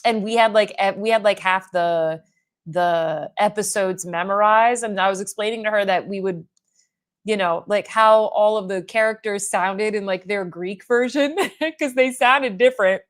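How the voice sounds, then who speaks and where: A woman's voice: mid-range voice, moderate pace. Woman, thirties, beauty livestream host, casual rambling chat with viewers, conversational and unscripted. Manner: chatty and rambling, with frequent "like" fillers. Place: at home doing makeup in front of a mirror.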